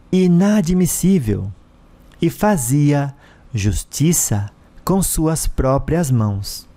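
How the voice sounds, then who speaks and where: A man with a Brazilian accent narrating a scripted story dramatically, in deliberate, theatrical phrases broken by short pauses. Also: mid-range voice, warm tone.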